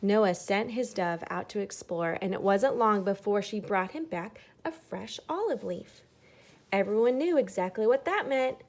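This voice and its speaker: A woman reads a children's story aloud at an unhurried pace. Her mid-pitched voice has some creak in it, and she shapes the phrases dramatically, dropping to a hush for emphasis. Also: expressive. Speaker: storybook reader.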